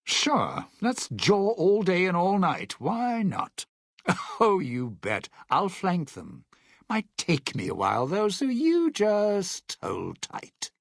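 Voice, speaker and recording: Casting: a world-weary, sardonic showman in a video game; husky male voice, dry close sound, old-time slang and sly patter. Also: high voice, huge pitch swings, takes it slowly, dry recording, exaggerated voice. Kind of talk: video game companion voice lines.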